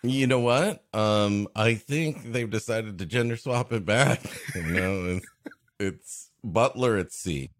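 A man's voice: on the low side, slow pace, no room sound. A man co-hosting a comedy podcast, improvising jokey, mock-serious asides in casual chat.

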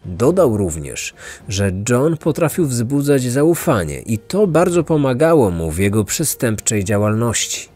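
A man narrates a true crime story as a podcast host. His voice sits at an average male pitch and follows a script. Music plays behind him. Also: big pitch swings, a little slow, darkish tone, husky.

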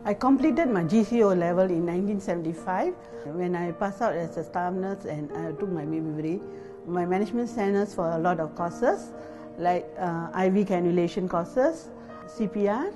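A woman in her fifties talks plainly and frankly as a midwife at work. Clear music runs beneath her voice. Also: lowish voice, normal speed. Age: 50s. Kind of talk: documentary interview.

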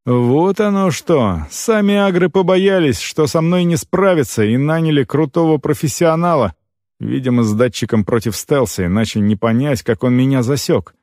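A man reading a fantasy novel in the first person as an audiobook narrator, with an expressive, dramatic storytelling delivery. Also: mid-range voice, moderate pace, dry recording, studio quality.

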